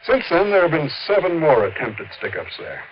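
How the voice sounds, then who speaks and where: An actor playing a worried, businesslike American insurance manager in a 1950s radio drama, talking in an earnest, explanatory, conversational way. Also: male voice, on the high side, sing-song, moderate pace. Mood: earnest, explaining. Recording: dull, slightly noisy 1950s radio recording.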